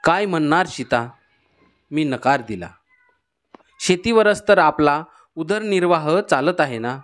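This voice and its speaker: A man's voice: a little high, animated, unhurried, a bit bright. A man playing a poor, worried village father in a cartoon story, speaking earnestly and respectfully. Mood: downcast, worried. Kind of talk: scripted cartoon story dialogue.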